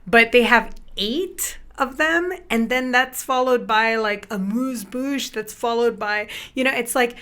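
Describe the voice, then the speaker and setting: A woman's voice: a little high, fairly bright tone. Chatty upbeat woman, Spanish native. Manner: chatty, upbeat, quick to laugh. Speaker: guest musician. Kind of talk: casual podcast chat.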